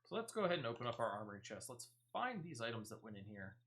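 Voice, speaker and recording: Male game streamer, chatty and emphatic, bright tone, very animated intonation, off-the-cuff commentary. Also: mid-range voice, quick pace. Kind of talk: casual live gameplay commentary.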